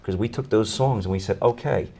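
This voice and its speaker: Deep-voiced bassist, eager and stumbling. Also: male voice, a little fast, gravelly, dark tone, breathy edge. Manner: enthusiastic, repeats words when starting. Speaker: tribute band bassist. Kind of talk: interview conversation.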